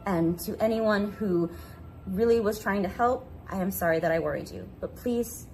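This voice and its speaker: A woman content creator giving an earnest, halting personal apology. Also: medium pitch, a little mellow, a little hiss or hum. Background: background music.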